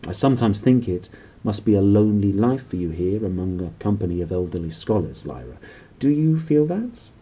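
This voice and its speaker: Storybook elderly priest, acted by a man: paternal, questioning, lively pitch, soft-edged tone. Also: brisk, slightly rough. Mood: kindly, probing. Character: plump elderly clergyman, kindly, gently probing.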